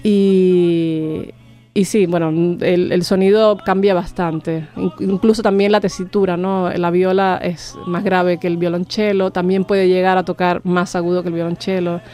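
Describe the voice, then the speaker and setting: A woman's voice: on the low side, level tone, a little slow, warm tone, soft background music. Hesitant woman musician. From Cuba. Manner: hesitant, many restarts and fillers. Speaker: viola da gamba player, guest. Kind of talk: radio interview.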